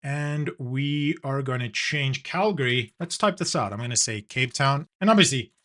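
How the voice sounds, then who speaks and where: South african guy walking thru a design tutorial, bright clear voice, easygoing. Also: medium pitch, normal speed. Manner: relaxed step-by-step narration with fillers. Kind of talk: screen-recorded software tutorial.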